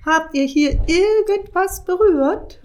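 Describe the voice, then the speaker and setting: A woman voicing a sly, suspicious witch with a shrill, sugary-sharp manner in a storybook reading. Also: slow pace. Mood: falsely sweet.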